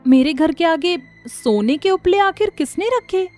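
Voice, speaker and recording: A man's voice: animated, a little slow, soft background music. A man voicing a startled, puzzled village woman in a cartoon story. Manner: high, bewildered questioning.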